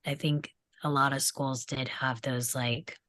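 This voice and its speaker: A woman's voice: a bass voice, level tone, unhurried, fairly bright tone, no room sound, studio quality. A woman talking casually as a panelist in a group discussion. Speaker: table read panelist.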